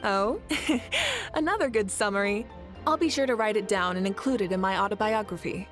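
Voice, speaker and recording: A woman's voice: medium pitch, expressive, moderate pace, a bit bright, natural voice. A woman voicing a confident, passionate adult ruler in a game, teasing and laughing easily. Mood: joking. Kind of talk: game voice acting. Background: faint game music.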